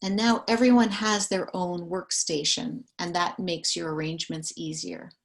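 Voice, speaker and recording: A woman conducting a structured survey interview, calmly reading questions and summarizing the answers back. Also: fairly low pitch, moderate pace, creaky. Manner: calm, methodical, reads questions and summaries back.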